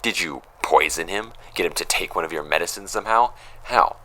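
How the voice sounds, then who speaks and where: A man's voice: low voice, fairly quick, sharp tone, low-quality recording. An actor playing a young male interviewer who asks blunt, pointed questions in an audio drama. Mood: accusing.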